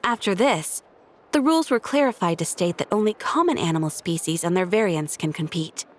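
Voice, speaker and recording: A woman voicing a polite adult female guild receptionist in a video game, speaking in a formal, measured and courteous way. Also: on the low side, expressive, a little hiss or hum, natural voice.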